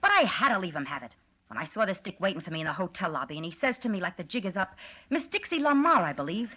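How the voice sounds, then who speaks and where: A muffled vintage radio recording of a young gangster's girl. She is brassy and none too bright, with a sharp, animated, whining delivery full of slang. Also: fairly low pitch, fast talker, husky, heavily acted. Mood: defensive, pleading. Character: brassy, dim young female gangster's moll. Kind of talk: 1940s radio acting.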